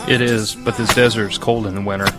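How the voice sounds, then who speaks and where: An actor playing a weary, stubborn army veteran in an audio drama, with a dry, plain-spoken manner. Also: male voice, lowish voice, fairly even intonation, a little fast, loud music behind the voice, natural voice. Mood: dry, teasing. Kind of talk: naturalistic audio drama acting.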